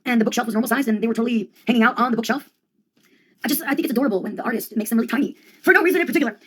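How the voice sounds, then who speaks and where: A young woman with a somewhat high-pitched voice performs a loose, rambling comedy monologue. Her delivery is deadpan and ironic, full of casual slang. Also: racing, breathy edge.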